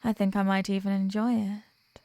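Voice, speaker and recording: A woman's voice: medium pitch, flat delivery, normal speed, warm tone, no room sound, close to an everyday voice. Woman playing a teasing, flirtatious demoness, close and dry. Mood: flirty, warm. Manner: coy, smug, teasing formality. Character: teasing, flirtatious female archdemon, worldly and wry. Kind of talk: roleplay ASMR audio drama monologue.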